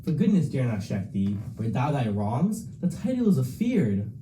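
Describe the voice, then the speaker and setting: A man's voice: medium pitch, big pitch swings, normal speed, dark tone, a little hiss or hum, lightly acted, poor audio. A young man playing a passionate, loyal nobleman in a student Shakespeare dramatization, declaiming archaic verse with emotion. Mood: bitter despair. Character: passionate, loyal Scottish nobleman, anguished for his country.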